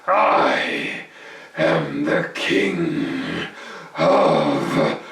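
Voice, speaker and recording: Huge scary goblin king, super deep n slow, booming, storybook villain, echoey. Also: a bit bright, exaggerated voice. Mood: menacing. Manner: slow, booming, drawn-out menacing delivery. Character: fearsome, monstrous goblin king, huge and menacing. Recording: roomy, echoing, distant mic.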